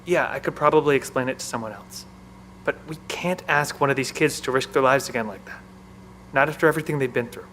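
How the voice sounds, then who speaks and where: A male actor as a worried bunker technician in an audio drama. He explains things hesitantly, with a protective, anxious edge. His voice sits at a middle pitch over a faint background hum. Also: quick pace, a bit bright, close to an everyday voice. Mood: grim, worried.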